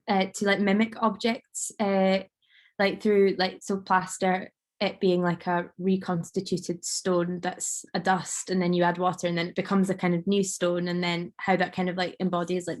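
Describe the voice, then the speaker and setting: A woman artist talking casually about her work, rambling with many fillers and a slightly croaky voice. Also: lowish voice. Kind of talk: casual conversation.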